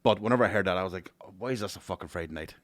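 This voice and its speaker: A Northern Irish man with a very deep voice talks fast and swears freely through casual podcast banter. The sound is dry and close. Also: fairly bright tone, no room sound. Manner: rapid, sweary, laughing banter. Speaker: comedian and podcast co-host. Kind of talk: casual comedy podcast chat.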